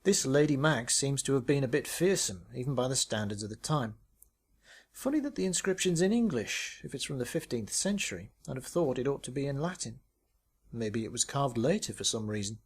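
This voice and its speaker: A man's voice: mid-range voice, animated, brisk, husky. A man voicing a bookish, reluctant paintball player who muses hesitantly and a little pedantically. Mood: musing.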